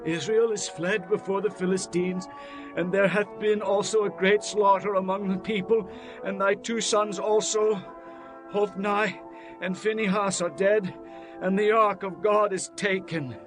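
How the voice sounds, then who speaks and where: A man's voice: high-pitched, steady tone, measured pace, music in the background. A man playing an agitated, urgent ancient soldier in a declamatory dramatized scripture style. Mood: grave, urgent.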